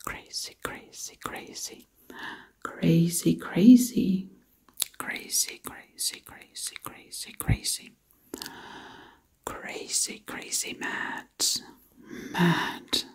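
A woman performs ASMR roleplay almost entirely in a whisper. Her voice is extremely breathy and husky, and she takes her time, circling back to repeat the same words again and again. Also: lowish voice, extremely slow, long pauses, a little mellow. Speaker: ASMR video creator.

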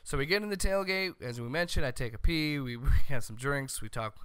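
An American man delivers comedic sports commentary straight to the listener. His voice is bright and forceful, recorded dry and close on a desk microphone. He comes across as loud and opinionated. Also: medium pitch, brisk, clear, resonant tone, dry recording. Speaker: sports show host. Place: small home room, indoors. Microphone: close desk microphone on boom arm.

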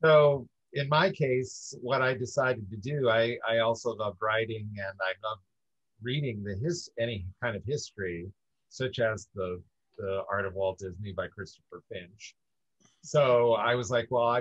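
A man from upstate New York, a guest in a casual interview, talking in an earnest, measured way with light laughs. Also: lowish voice, fairly bright tone, no echo. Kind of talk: casual video-call interview. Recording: dull muffled dry audio.

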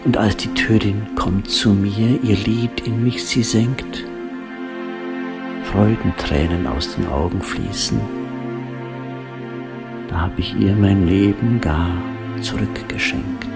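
A man narrates a solemn, poetic story in a fairly low voice. He takes his time, letting phrases stretch out and soften into a breathy hush. New-age instrumental music plays clearly behind him. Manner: hushed, breathy, drawn-out phrasing, trailing into whispers.

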